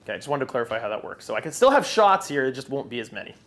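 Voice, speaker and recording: Lively-voiced man thinking aloud at a game table. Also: mid-range voice, very fast. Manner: thinks aloud while moving models, restarts often. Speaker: wargame player. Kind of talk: casual live game chat.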